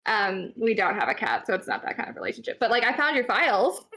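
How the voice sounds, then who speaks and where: A woman's voice: on the high side, very animated, fast, pushed and bright. A woman playing a tabletop game over a video call, talking in a bubbly, gushing influencer manner. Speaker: tabletop player. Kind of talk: in-character improv play over video call.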